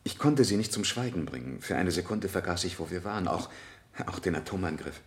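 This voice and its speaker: Weary, defensive middle-aged man, low voice with lively swings, radio drama witness. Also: fairly quick. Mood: weary, subdued. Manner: terse, guarded, subdued answers. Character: defensive married father under questioning, weary and guarded. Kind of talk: 1970 German radio play acting.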